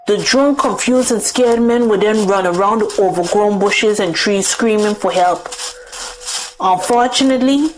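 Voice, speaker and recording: A woman's voice: medium pitch, unhurried, background noise. A woman narrating a folktale dramatically over music. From Caribbean, St. Maarten. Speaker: storyteller. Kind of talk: dramatic scripted folklore narration.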